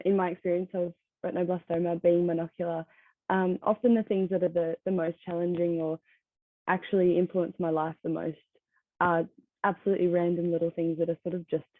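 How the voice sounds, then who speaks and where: Teenage woman, soft-spoken with ums, lowish voice, tinny phone-like line. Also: no echo. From Australia. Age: teens. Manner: soft-spoken, many ums, laughs lightly. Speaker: conference speaker. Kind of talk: presentation talk over a video call. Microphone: video call.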